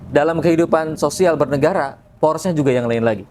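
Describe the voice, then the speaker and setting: A man's voice: a little high, quick pace, a little mellow, a little hiss or hum. A man speaking as a religious podcast guest, explaining things conversationally and often checking in with tag questions. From Indonesia.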